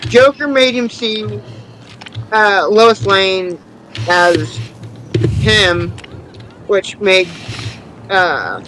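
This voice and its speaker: Guy with a high bright voice, talks real slow, lots of uhs. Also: slight background noise, poor audio. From Illinois, near St. Louis. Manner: slow, halting, with many uhs. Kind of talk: casual chat.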